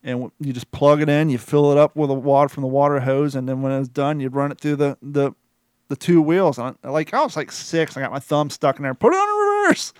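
A man's voice: fairly high pitch, animated, brisk, smooth, dry recording, studio quality. A man in his forties from Kentucky with an American accent, hosting a podcast in a folksy, colloquial storytelling way. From Kentucky, United States. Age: 40s. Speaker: podcast host. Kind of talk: casual chat, storytelling.

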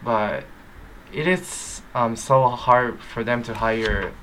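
Bright-voiced hesitant actor. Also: male voice, mid-range voice, slow pace, slight background noise. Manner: hesitant, many fillers. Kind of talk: interview answers.

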